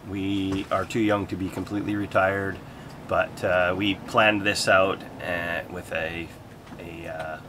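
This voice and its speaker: A man's voice: low-pitched, little pitch movement, measured pace, noisy background. A man from Canada with a Canadian accent, co-hosting a vlog in casual chat. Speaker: co-host of a sailing vlog. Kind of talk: casual chat, answering viewer questions.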